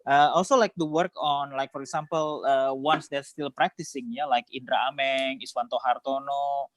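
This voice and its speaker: A man with an Indonesian accent talking casually on a video call, halting and often repeating his words. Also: mid-range voice, fairly even intonation, no echo. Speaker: host. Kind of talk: casual reflective conversation.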